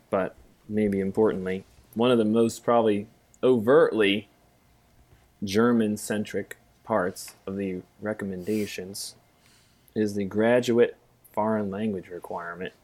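Low-voiced man in easygoing chat. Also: steady tone, unhurried, darkish tone. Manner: rambling, self-deprecating humor. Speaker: podcast co-host, music theory teacher. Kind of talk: casual panel discussion.

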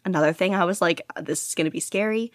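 A woman's voice: lowish voice, quick pace, no echo, clean studio sound. A woman guest on a casual podcast interview, talking eagerly and laughing often. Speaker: podcast guest, audiobook reader.

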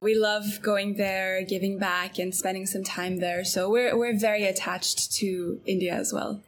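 A young woman from Belgium, a guest in a casual interview, chatting in a relaxed way with many fillers and frequent laughs. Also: medium pitch, measured pace.

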